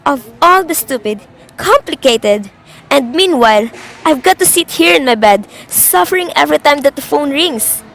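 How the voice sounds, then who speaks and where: Young woman acting bedridden invalid wife, high pitch, agitated, self-pitying, demanding, melodramatic, suspense radio drama. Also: animated, moderate pace, creaky, fairly bright tone, soft background music, heavily acted, poor audio. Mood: irritated, complaining. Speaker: student actor in a class radio drama.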